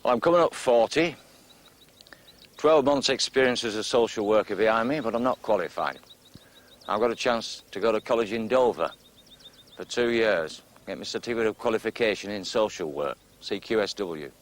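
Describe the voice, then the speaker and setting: A man's voice: lowish voice, normal speed, natural voice. A man of about forty with an English accent, acting in a TV drama as a reflective, self-doubting man confiding in someone. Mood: hesitant, troubled. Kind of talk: naturalistic television drama acting.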